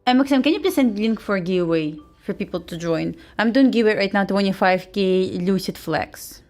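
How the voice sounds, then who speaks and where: A woman's voice: medium pitch, expressive, moderate pace, a little mellow, faint music behind. Woman livestreamer with a Russian accent. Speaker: host, futures day trader. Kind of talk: casual livestream chat and trading commentary.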